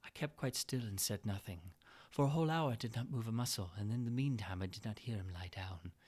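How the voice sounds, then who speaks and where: A man narrating a gothic tale as a dramatic reading, moving between hushed whispers and frantic, feverish shouting. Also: lowish voice, a little fast, husky. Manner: feverish, building intensity.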